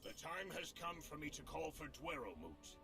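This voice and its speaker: An actor playing a troubled, solemn dwarf royal in a fantasy game, with a grave, formal manner. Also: male voice, on the high side, very animated, moderate pace, vocal fry, pushed and bright, slightly rough, faint music behind, exaggerated voice.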